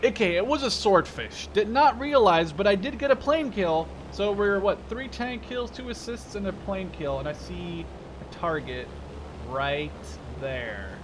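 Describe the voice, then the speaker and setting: Higher-pitched young man commentating over game noise. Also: expressive, measured pace. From Canada. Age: 20s. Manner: self-deprecating, joking, slangy. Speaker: gaming video host. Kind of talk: casual live gameplay commentary. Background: game vehicle sounds.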